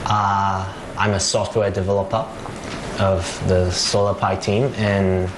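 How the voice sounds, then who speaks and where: A man from Thailand explains a technical product in plain words, stopping now and then for fillers. His voice is fairly low. The room sounds echoey and noisy. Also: flat delivery, measured pace. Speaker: software developer. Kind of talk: casual interview answers.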